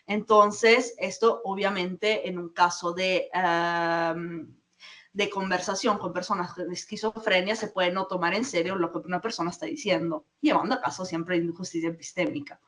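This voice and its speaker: Halting woman presenting her research. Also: on the low side, steady tone, fairly bright tone, recorded from a distance. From Peru. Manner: halting, many fillers and restarts. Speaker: academic presenter. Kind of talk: conference talk.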